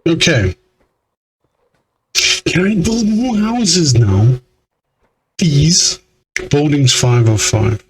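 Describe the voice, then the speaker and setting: A man's voice: a little high, animated, extremely slow, pauses often, slight background noise, low-quality recording. A man giving let's play commentary in an exasperated, grumbling way. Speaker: game streamer. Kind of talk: let's play commentary, reading game dialogue aloud.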